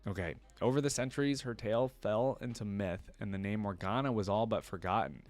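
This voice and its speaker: A man's voice: fairly low pitch, brisk, a little mellow, faint music behind. A man hosting a reaction, switching between reading a story aloud and informal commentary where he thinks aloud. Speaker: reaction video host.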